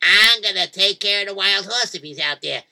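A man's voice: high voice, normal speed, creaky, sharp tone, exaggerated voice. A man voicing an eager, confident young boy in a spoken story. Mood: bold. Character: brave, cheerful young boy.

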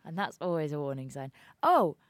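A young woman chats on a podcast in a slightly low voice. She tells stories in a lively, self-dramatizing way. The sound is dry and close. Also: dry recording. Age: young adult. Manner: chatty, melodramatic, quick storytelling. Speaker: podcast co-host. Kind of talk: casual chat.

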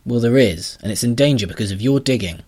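Earnest young inventor woman, pitched up and pushy, slightly husky, played by a man. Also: animated, quick pace, darkish tone. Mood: insistent. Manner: earnest, insistent, flustered.

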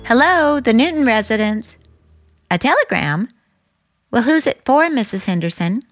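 A woman's voice: a little high, expressive, moderate pace, faint music behind, lightly acted. Woman acting a lilting young household daughter. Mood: chirpy, matter-of-fact. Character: bright, brisk young daughter answering the household telephone. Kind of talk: radio theater acting.

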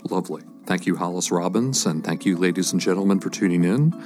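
A man with a fairly low-pitched voice acts as the host of an interview. He puts his questions to the guest in a measured, orderly way. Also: music in the background.

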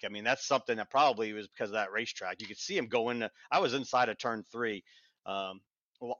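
A man's voice: mid-range voice, bright, forceful tone, no echo. An American man talking as a reporter on a podcast panel, casually rambling through race details with repeated, restarted phrases. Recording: dull lo-fi, very dry sound.

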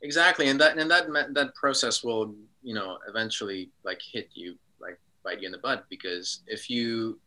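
A man from Switzerland, a guest in a casual interview, speaking reflectively with frequent pauses and ums. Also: on the low side, bright, forceful tone, low-quality recording.

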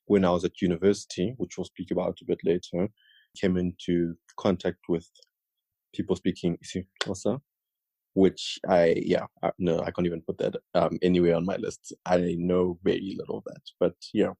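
Young male podcast co-host from South Africa, husky and dry. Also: low-pitched, no room sound. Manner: relaxed, chatty, jokes with his co-host. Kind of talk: casual conversational podcast.

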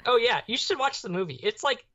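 A young woman co-hosting a podcast, chatting casually in a hesitant, halting way and often repeating words. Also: fairly high pitch, animated, over a noisy crowd. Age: young adult. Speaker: podcast co-host. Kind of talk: casual pop culture chat. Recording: slightly dull, muffled microphone sound.

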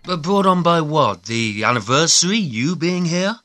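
A man's voice: on the high side, animated, normal speed, bright, forceful tone, dry recording. An actor playing a weary, distressed but loving husband and father in an audio play. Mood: exasperated. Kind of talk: dramatic audio play acting.

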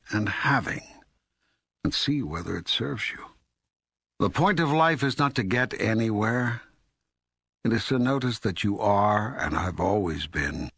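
A man playing God in an audiobook dialogue, speaking as a patient, all-knowing deity in a measured, authoritative, teaching way. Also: lowish voice, a bit bright, breathy edge, no room sound, clean studio sound, heavily acted. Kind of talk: scripted audiobook dialogue reading.

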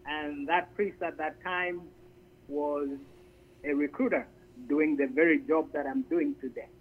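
A man's voice: on the high side, steady tone, unhurried, a little hiss or hum. A man from Zambia, a priest and Air Force chaplain, speaking as a guest in a radio interview and often repeating words as he searches for them. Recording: tinny phone line.

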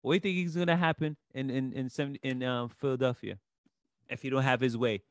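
A man's voice: medium pitch, fairly even intonation, fast talker, no echo. A man with a Haitian accent, co-hosting a podcast, arguing about sports with emphatic, rising outbursts. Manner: emphatic, rising to near shouts when worked up. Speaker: podcast co-host. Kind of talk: heated sports debate.

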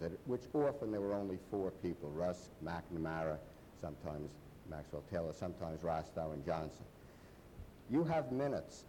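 Low-voiced older man explaining on a panel. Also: warm tone, a little hiss or hum, rough recording. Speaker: biographer on a panel. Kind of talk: panel discussion. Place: large hall.

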